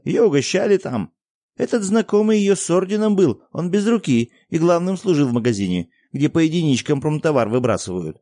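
A man voicing a defiant peasant boy who scolds his parents in a high, indignant voice. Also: warm tone, no echo, studio quality, natural voice.

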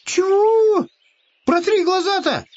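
A man voicing an excitable, simple, cheerful young hare in a storybook tale, exclaiming in bewildered, colloquial bursts. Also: very high voice, measured pace, breathy edge, dull audio, pitched above the performer's own voice, heavily acted. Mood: indignant.

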